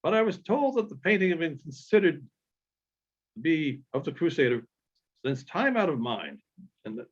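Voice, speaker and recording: Halting male story reader, dull lo-fi sound. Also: fairly high pitch, sing-song, husky. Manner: halting, stumbling delivery with frequent pauses. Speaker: audiobook reader. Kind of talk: literary narration read aloud.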